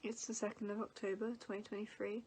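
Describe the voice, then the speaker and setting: A woman's voice: mid-range voice, level tone, fast, a little mellow, breathy edge, rough recording. A young woman from England in her twenties, sniffly from allergies, telling a long personal story in a rambling vlog with lots of fillers. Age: 20s. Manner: rambling, many fillers and restarts. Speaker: vlogger.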